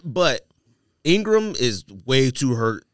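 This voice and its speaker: A man's voice: medium pitch, big pitch swings, slow pace, no echo, clean studio sound. A man co-hosting a sports podcast in casual banter, speaking in a blunt, emphatic, slangy way.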